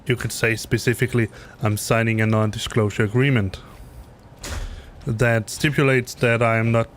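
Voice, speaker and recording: A man's voice: medium pitch, flat delivery, moderate pace, slight background noise. A middle-aged Danish man with a Danish accent chatting on a live stream while flying a simulator, rambling and correcting himself with many fillers. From Denmark. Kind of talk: casual live-stream chat. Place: home basement room.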